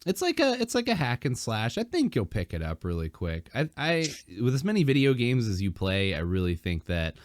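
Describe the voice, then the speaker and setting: A man hosting a casual podcast, rambling with fillers and restarts, his throat dry and a bit hoarse. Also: medium pitch, huge pitch swings, fairly quick, hardly any pauses, no echo. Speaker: podcast host.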